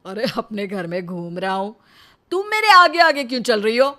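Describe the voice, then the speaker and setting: A woman's voice: a little high, big pitch swings, normal speed, pushed and bright. A woman voicing a cocky, teasing young husband in a cartoon, devoted underneath, with a drawling delivery.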